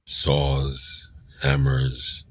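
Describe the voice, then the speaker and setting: A man voicing a deadpan, drawling male sidekick on a podcast, reciting lists word by word. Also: a bass voice, extremely slow, no room sound, heavily acted.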